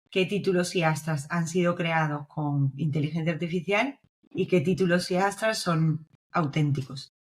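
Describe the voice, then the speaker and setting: A young woman from Spain with a Spanish accent, explaining her views as a guest in a casual podcast interview and often checking in with her listener. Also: on the low side. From Navarre, Spain. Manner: explanatory, checks in with frequent tag questions. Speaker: guest, developer advocate.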